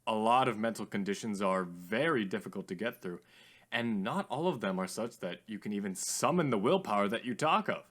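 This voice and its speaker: A man playing a courteous, theatrical radio call-in host with formal, drawn-out greetings in a radio drama. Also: lowish voice, animated, a bit bright. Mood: thoughtful.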